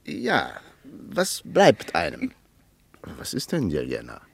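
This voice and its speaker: An actor playing a blunt, probing economist in a German radio drama. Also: male voice, deep voice, wildly swooping pitch, slow, gravelly, husky, no room sound, full character voice.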